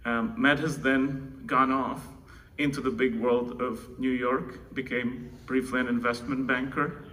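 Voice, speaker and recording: Bright-voiced man addressing a conference. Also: mid-range voice, flat delivery, a little slow, far from the mic, poor audio. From Czech Republic. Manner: conversational, with restarts and asides to the audience. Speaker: keynote presenter introducing his co-founder. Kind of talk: live conference speech. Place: large conference room. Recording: conference room, speaker away from the mic, faint room noise.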